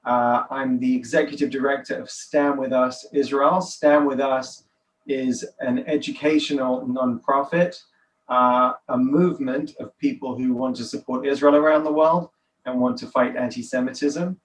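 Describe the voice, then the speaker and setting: A man's voice: mid-range voice, steady tone, moderate pace. A man with a British accent speaking as an interview guest, explaining his work. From United Kingdom. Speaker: podcast guest, nonprofit director. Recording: distant mic, faint background noise.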